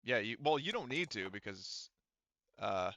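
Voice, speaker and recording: Low-voiced man running a tabletop game. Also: very animated, measured pace, bright, forceful tone, no echo, lo-fi sound. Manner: informal, mildly profane. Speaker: game master. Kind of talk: casual game mastering, narrating and explaining rules.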